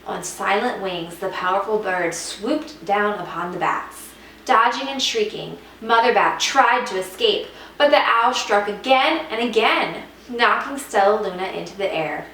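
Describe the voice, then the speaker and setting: A woman reading a children's picture book aloud as a teacher would, with expressive, dramatic emphasis. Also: medium pitch, a little slow. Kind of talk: expressive scripted storybook narration. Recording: echoey room, distant mic, faint noise.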